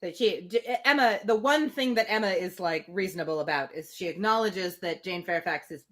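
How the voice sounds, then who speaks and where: A woman's voice: fairly low pitch, normal speed. A woman hosting a live novel reading, moving between read-aloud prose and playful, conversational commentary.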